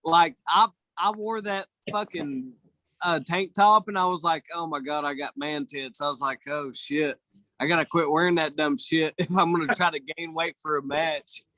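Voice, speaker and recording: Rambling man, bright dry voice. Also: a little high, steady tone, normal speed, dry recording. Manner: rambling, loose. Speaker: arm wrestler. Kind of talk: casual chat.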